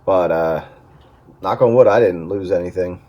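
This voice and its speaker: A man with a lowish voice chats casually over two-way radio. Faint noise sits behind his transmission. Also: moderate pace, dark tone, muffled recording.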